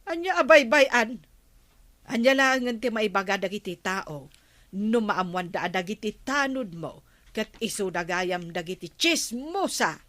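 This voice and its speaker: A woman's voice: mid-range voice, expressive, unhurried, fairly bright tone. A woman with an Ilocano accent playing a nosy, blunt, exasperated villager in a radio comedy drama. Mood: exasperated, scolding.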